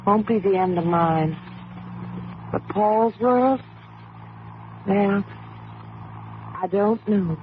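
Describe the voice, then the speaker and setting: Anxious, devoted wife, thin radio sound. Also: female voice, medium pitch, extremely slow, long pauses, phone-quality sound. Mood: fond, wistful. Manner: hesitant, affectionate, earnest. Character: anxious, devoted racing wife and young mother. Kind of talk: radio drama acting. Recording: band-limited noisy old radio recording.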